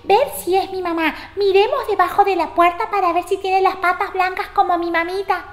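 A woman voicing excitable, wary little kid goats with a childlike, insistent manner in a children's story. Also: very high-pitched, moderate pace, voice pushed up. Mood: wary, defiant. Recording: roomy, echoing sound.

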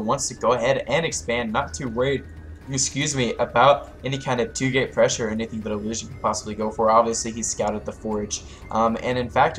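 A man casting a strategy game match live, a little hoarse from losing his voice, calling plays with rising excitement. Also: medium pitch. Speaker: esports caster.

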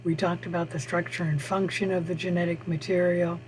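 Hoarse man teaching online, slow and halting with lots of "uh" pauses, fairly high voice, faint hum behind. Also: flat delivery, rough recording. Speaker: college biology instructor. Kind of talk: online lecture over screen share. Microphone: computer mic on a video call.

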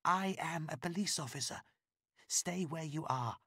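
A man voicing a calm woman police officer. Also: fairly high pitch, unhurried, breathy edge, no echo, studio quality, pitched above the performer's own voice, full character voice. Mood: calm reassuring.

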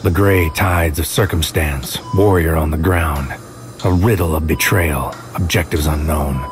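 A man playing a bleak, alienated psychic warrior, speaking a deliberate, poetic inner monologue in an audio drama. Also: rumbling low, steady tone. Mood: bleak, grieving. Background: music.

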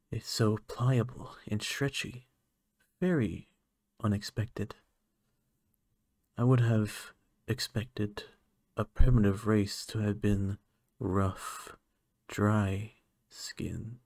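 A man voicing a curious, clinical alien scientist in a soft-spoken ASMR roleplay, measured and formal with hesitant moments. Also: fairly low pitch, creaky, no room sound, studio quality, heavily acted.

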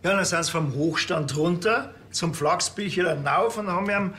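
A man's voice: high-pitched, normal speed, pushed and bright, slight background noise. A man with a Bavarian accent playing a dry, bossy, matter-of-fact police officer in a TV crime comedy. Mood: dry, probing.

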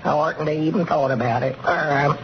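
Over a noisy, muffled 1930s radio recording, a man acts out a folksy country storekeeper who shirks work. The voice is fretful, a bit creaky and rural Southern, in broad comedy style. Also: fairly high pitch, fairly even intonation, moderate pace, close to an everyday voice. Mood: feigning illness.

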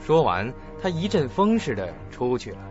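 A man narrating a radio drama in an expressive, suspenseful, scripted style. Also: mid-range voice, normal speed. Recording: dull lo-fi audio, faint music.

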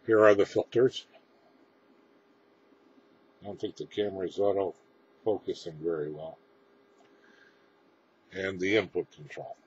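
Low-voiced man, halting, on noisy audio. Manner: halting, matter-of-fact explaining. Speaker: presenter demonstrating homemade radio equipment. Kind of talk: casual unscripted equipment demonstration. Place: indoors, small room with radio gear. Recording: dull lo-fi camera audio, clear background noise.

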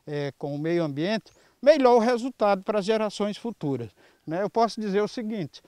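A Brazilian man in his early sixties answering an interview earnestly and haltingly through a face mask, into a handheld microphone. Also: high voice, lively intonation, warm tone, no room sound, clean studio sound. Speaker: environmental volunteer leader. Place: outdoors in a grassy clearing. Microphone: handheld reporter microphone.

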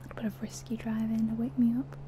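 A soft-spoken woman doing an ASMR roleplay as a friendly, chatty taxi driver, in a gentle, whispery delivery. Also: on the high side, completely flat delivery, quick pace. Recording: faint background noise of game car sounds. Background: car sounds from a driving game.

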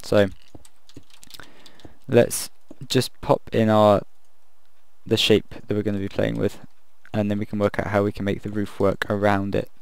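A man casually commenting while he builds in a video game, thinking aloud and rambling, his voice croaky from the late hour. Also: on the low side, completely flat delivery, unhurried, dry recording. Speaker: gaming video creator.

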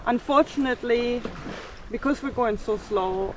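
A woman's voice: fairly high pitch, measured pace, distant mic, rough recording. Woman vlogging on a windy boat deck. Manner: chatty, matter-of-fact storytelling. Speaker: sailing vlogger. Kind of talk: casual vlog narration to camera. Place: outdoors on a sailboat deck at sea. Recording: wind and water noise behind.

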